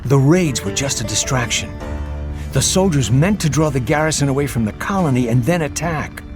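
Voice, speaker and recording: An actor playing a troubled, bewildered colonist in a video game cutscene. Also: male voice, medium pitch, animated, moderate pace, music in the background, close to an everyday voice. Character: bewildered colonist reporting a raid.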